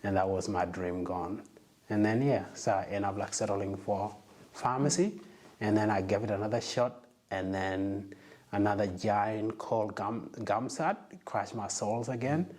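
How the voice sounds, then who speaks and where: A man's voice: fairly low pitch, measured pace, darkish tone. A young man from South Sudan, a medical student, earnestly telling his story in a casual interview.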